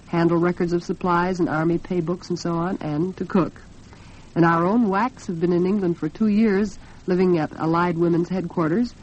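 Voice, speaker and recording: A dull, band-limited 1940s radio recording carries an American woman's low voice. She talks as a program host, chatty and earnest, with a homely touch. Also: a little mellow. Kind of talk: 1940s radio talk with scripted sponsor chat.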